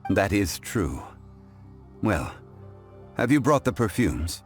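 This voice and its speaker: An actor playing a calm, courteous, scholarly gentleman in a video game, speaking in a measured, formal, contemplative way. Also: lowish voice, faint music behind. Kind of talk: English video game voice acting.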